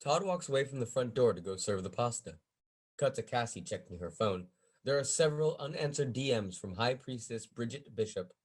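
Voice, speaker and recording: A man's voice: mid-range voice, expressive, a little fast. A man reading stage directions as the narrator at a script table read.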